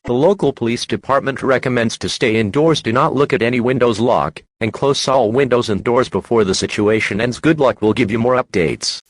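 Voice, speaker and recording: A man reading a scripted emergency broadcast announcement in a formal, measured, official manner. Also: medium pitch, no room sound.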